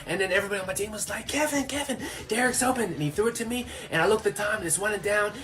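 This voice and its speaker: An actor voicing an excitable schoolkid in scripted ad dialogue. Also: medium pitch, racing, natural voice. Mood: breathless suspense. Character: excitable schoolkid recounting a gym game.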